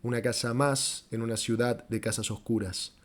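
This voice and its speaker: A man's voice: mid-range voice, fairly even intonation, normal speed. A man narrating a literary short story as an audiobook, in a scripted, dramatic storytelling style.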